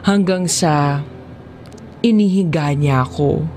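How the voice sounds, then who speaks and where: Filipino narrator for confessional drama audiobook: unhurried delivery, mid-range voice, clear musical bed underneath. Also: mid-range voice, a little mellow. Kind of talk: scripted first-person drama audiobook narration. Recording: music behind the voice. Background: background music.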